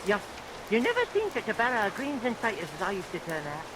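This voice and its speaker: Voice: mid-range voice, huge pitch swings, fast. An actor playing a cheeky, chatty down-on-his-luck youth with colloquial speech in a vintage radio melodrama. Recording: vintage radio recording, hissy rain noise.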